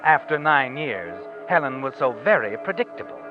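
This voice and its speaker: An actor playing a sinister, all-knowing narrator of crime tales in a 1940s radio suspense show, speaking in an ominous, deliberate, dramatic way. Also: male voice, medium pitch, sing-song, close to an everyday voice. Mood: brooding, measured. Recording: dull vintage radio recording with music.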